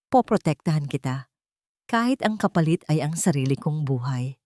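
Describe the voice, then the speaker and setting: A woman's voice: low voice, lively intonation, normal speed, darkish tone, dry recording, studio quality. A woman voicing a devoted, resolute young man in love in an audio drama. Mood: tender, resolute. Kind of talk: Tagalog audio drama storytelling.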